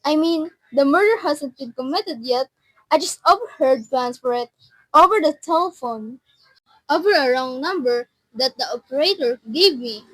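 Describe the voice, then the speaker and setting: Teenage girl acting an imperious, high-pitched anxious married woman. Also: fairly bright tone, no echo, rough recording. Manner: insistent, indignant, haughty. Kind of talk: amateur student radio drama acting.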